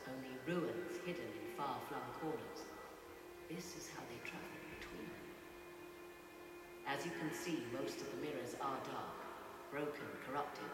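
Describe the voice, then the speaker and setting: An actress playing a cool, knowing sorceress who speaks in a measured, archaic, faintly condescending way. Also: female voice, rumbling low, recorded from a distance, heavily acted. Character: aloof, knowing sorceress woman guarding ancient secrets. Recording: echoey TV audio filmed from afar with loud hiss.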